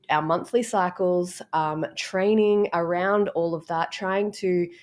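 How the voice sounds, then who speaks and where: A woman coach with a slightly low voice, a little croaky from a cold. She talks casually through her explanations, step by step and loose with filler words. Easygoing instructional delivery. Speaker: strength coach. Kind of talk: casual instructional talk to camera.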